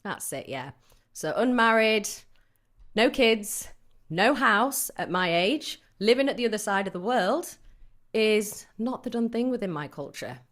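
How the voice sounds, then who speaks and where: A woman's voice: a little high, measured pace. A woman in her forties, a fitness coach, talking frankly and telling stories in a casual interview with easy laughter. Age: 40s. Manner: frank, lively storyteller who laughs easily.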